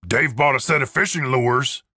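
A big, gruff brute of a man, acted by a woman, raspy and forceful. He is dim, blunt and sullen, a sulky adventurer in a comic fantasy audiobook, recorded very dry. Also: extremely low pitch, normal speed, dry recording, exaggerated voice. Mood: flat.

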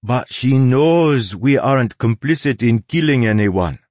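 Man voicing an urgent, stern sailor woman, pitched up. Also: expressive, unhurried, darkish tone, dry recording, lightly acted. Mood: conspiratorial. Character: stern, straightforward woman sailor, pleading and conspiratorial.

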